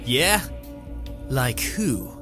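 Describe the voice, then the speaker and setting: A man's voice: medium pitch, sing-song, very slow, music in the background. A man voicing a drunken, goofy animal in a cartoon fandub, with a loose, slurred, playful delivery. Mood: tipsy, curious. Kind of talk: dramatic comic fandub dialogue.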